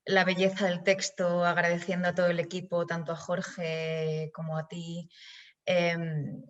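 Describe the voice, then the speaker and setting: A woman interpreting at a live Q&A, summarising in a measured manner. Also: fairly low pitch, completely flat delivery, muffled recording.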